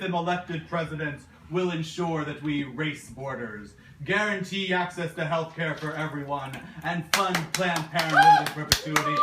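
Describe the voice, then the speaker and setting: A man's voice: high-pitched, noisy background. Casting: male puppeteer as pompous reformed bully running for office, oratorical, echoey live puppet show. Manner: grand, oratorical. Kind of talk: mock political speech in puppet show. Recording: distant mic in echoey room.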